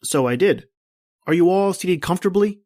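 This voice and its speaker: Radio drama executor male actor: stiffly formal, deliberate, dutiful gentleman, very little room sound. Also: high-pitched, expressive, dry recording, clean studio sound. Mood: formal, measured. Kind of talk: old-time radio drama acting.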